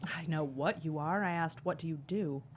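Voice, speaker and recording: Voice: medium pitch, normal speed, close to an everyday voice. An actor plays a self-important monarch butterfly in a comedy table read, all haughty diva disdain. Faint room noise sits behind the voice. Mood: bored disdain. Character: pompous, snooty diva monarch butterfly named Jerry.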